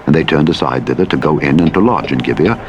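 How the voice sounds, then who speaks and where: A man reading scripture as a formal, solemn and measured scripted narration. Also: rumbling low, expressive, lo-fi sound. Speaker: audiobook Bible narrator. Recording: loud truck engine noise, played as radio. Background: truck simulator engine, vehicle sounds, reversing beeps.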